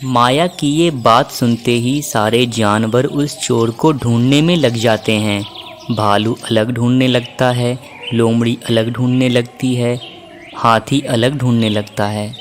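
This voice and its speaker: A man's voice: medium pitch, steady tone, unhurried, a little hiss or hum. A man narrating a children's story in a scripted storybook style.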